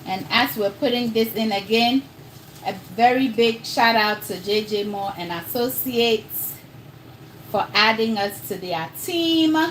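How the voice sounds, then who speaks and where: A woman's voice: mid-range voice, a little hiss or hum, rough recording. A woman with an accent between Ghanaian and American, hosting a casual live cooking stream and chatting straight to her viewers. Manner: chatty, talks straight to viewers, calls them y'all. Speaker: cooking livestream host.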